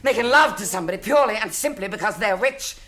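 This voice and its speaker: A woman's voice: mid-range voice, lively intonation, quick pace, a bit bright, natural voice. An actress playing an imperious, easily outraged rich aristocratic mother in a British radio drama. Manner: haughty, commanding.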